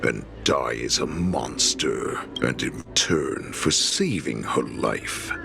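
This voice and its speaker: A man's voice: a bass voice, expressive, slow, vocal fry, over music, exaggerated voice. A man voicing a menacing wolf-beast warlord in a video game, theatrical and taunting, savoring his words. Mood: gloating, menacing. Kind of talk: dramatic video game voice acting.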